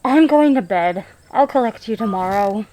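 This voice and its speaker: A woman's voice: mid-range voice, expressive, moderate pace, warm tone, natural voice, low-quality recording. A woman playing a haughty, irritable businesswoman with a sardonic, dismissive manner in a visual novel fan dub. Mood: exasperated.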